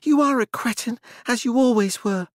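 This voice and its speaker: A man's voice: very high-pitched, normal speed, no room sound, studio quality, pitched above the performer's own voice. A man voicing a scornful, fearful woman in a spitting, contemptuous manner. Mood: bitter contempt.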